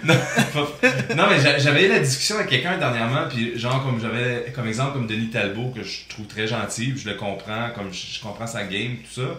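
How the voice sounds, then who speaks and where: A man's voice: mid-range voice, fairly quick, few pauses, sharp tone. A man from Ontario co-hosting a podcast, chatting casually and hesitantly, often repeating words as he thinks. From Ontario, Canada. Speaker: podcast co-host. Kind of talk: casual chat. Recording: echoey room, faint background noise.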